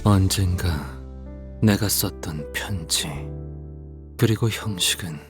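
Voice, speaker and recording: An actor playing a solemn, melancholy poet in a Korean game, with grave, deliberate, archaic speech. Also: male voice, deep voice. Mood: wistful. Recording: music behind the voice.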